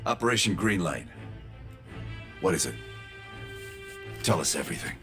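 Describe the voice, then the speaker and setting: A stern, controlled spy team leader in a Cold War game. His voice is very deep and he talks in terse, deliberate commands over clear background music. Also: sing-song. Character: stern, controlled male CIA operative leading a covert team. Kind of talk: Cold War video game cinematic acting.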